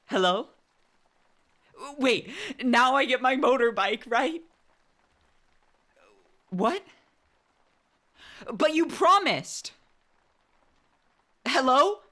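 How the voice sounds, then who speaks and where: A woman's voice: high-pitched, sharp tone, exaggerated voice. A woman playing a self-important, childishly eager young hero, boasting earnestly in a radio play. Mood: pleading.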